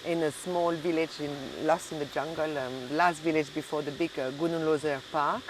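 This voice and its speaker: Middle-aged French-accented woman, halting speech over noise. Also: low voice, fairly even intonation. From Belgium and southern France. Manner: halting, searching for words. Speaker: interview guest, hotel and restaurant owner. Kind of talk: casual conversational interview.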